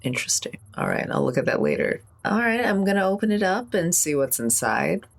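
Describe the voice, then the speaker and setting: Female tabletop player, low register, casual and wry game-table chat. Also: very animated, a little fast, gravelly. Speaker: tabletop role-playing player.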